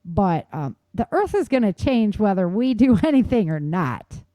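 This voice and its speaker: A woman with a mellow, dark-toned voice talks as a show co-host. She comments on the news in a conversational but opinionated and emphatic way. The sound is dry, with very little room around her. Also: mid-range voice, sing-song, moderate pace, no room sound. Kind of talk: informal news commentary and chat.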